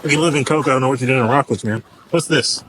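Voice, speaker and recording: A man's voice: medium pitch, fast talker. A man speaking as a police officer, blunt, firm and informal, questioning and giving procedural instructions. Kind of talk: blunt questioning and procedural talk during an arrest. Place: outdoors in a park. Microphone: body-worn camera. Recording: noisy outdoor bodycam audio.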